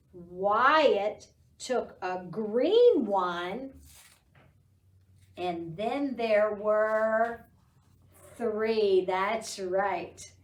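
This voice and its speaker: High-voiced, unhurried woman reading to children. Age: middle-aged. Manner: animated, encouraging, talks to young children. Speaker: library storytime host. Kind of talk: children's storytime read-aloud. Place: small indoor library room. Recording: roomy echo, distant microphone.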